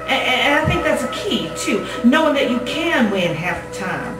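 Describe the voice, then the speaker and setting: A woman's voice: fairly high pitch, big pitch swings, pitched above the performer's own voice. Confined, unhinged Southern woman played by a female stage reader, colloquial, repetitive and defensive; echoey live room with music underneath. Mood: earnest, chatty. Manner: rambling, halting, colloquial, repetitive. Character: confined woman inmate acting crazy, rambling, defensive, paranoid. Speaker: performer reading a monologue. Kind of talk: live dramatic monologue reading. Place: small gallery cabaret stage. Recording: roomy distant live recording with music.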